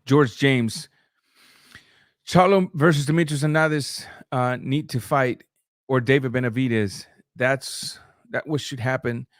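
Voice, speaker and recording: A man's voice: medium pitch, unhurried, no room sound, clean studio sound. Casual Spanish-speaking man streaming boxing talk. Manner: loose, conversational, with frequent restarts and filler sounds. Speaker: boxing channel host. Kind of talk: live-stream commentary, reading super chats.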